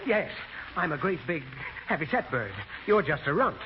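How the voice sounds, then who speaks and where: A man's voice: fairly high pitch, sing-song, moderate pace. An actor playing a flustered, cowardly, blustering father in theatrical 1940s radio comedy. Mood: panicky. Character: blustering, fretful, cowardly father, dreaming he's a turkey. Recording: noisy, dull vintage radio broadcast.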